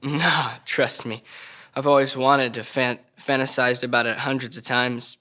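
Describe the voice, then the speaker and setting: Man playing a bright-voiced, mouthy defiant teenage boy. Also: medium pitch, level tone, unhurried. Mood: bitterly sardonic. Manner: mouthy, sarcastic slang, swearing. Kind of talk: table read of a crime drama.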